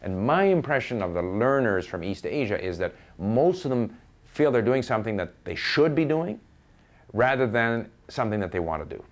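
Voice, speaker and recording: Canadian man with very animated pitch. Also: moderate pace. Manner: emphatic, repeats phrases for stress, quotes imagined speakers conversationally. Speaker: experienced language learner giving advice. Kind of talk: informal, opinionated talk on learning.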